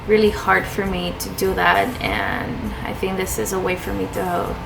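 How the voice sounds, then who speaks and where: A woman's voice: mid-range voice, very animated, moderate pace, vocal fry, music in the background, poor audio. A young woman from Chile talking hesitantly about her personal life in a vlog, with lots of fillers and restarts. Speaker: vlogger.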